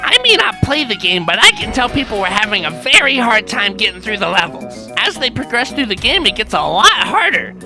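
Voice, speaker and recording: Woman voicing a wildly animated young monkey sidekick. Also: fairly high pitch, a little fast, few pauses, music in the background. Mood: matter-of-fact. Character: young excitable wisecracking monkey sidekick, male. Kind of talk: scripted comic cartoon dialogue.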